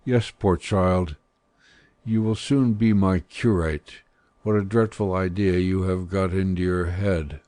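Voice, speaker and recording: Man reading as low, grave old abbé. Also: steady tone, mellow, no room sound. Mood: gently chiding. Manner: grave, deliberate, admonishing. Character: stern, blunt older male abbé with hidden kindness. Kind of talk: audiobook character dialogue.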